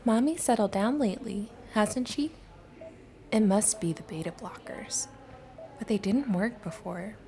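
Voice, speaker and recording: A woman's voice: mid-range voice, a little slow, dark tone, close to an everyday voice. A woman playing a doctor's anxious, conscientious assistant in a horror manga dub, speaking earnestly and uneasily. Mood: concerned.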